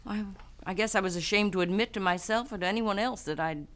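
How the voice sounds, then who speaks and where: An actress playing a guilty, composed young widow in a 1970s radio drama, speaking softly and earnestly. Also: female voice, mid-range voice, fast talker, natural voice.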